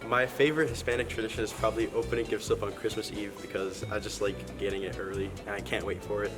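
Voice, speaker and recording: A man's voice: lowish voice, flat delivery, quick pace, music in the background. A teenage boy giving short interview answers that open with a hesitant "um". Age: teens. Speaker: interviewed student.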